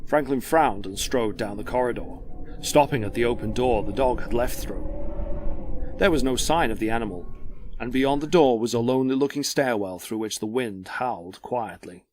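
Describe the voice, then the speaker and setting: A man narrating a dramatic story from a script in a measured, descriptive way. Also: mid-range voice, darkish tone, a little hiss or hum.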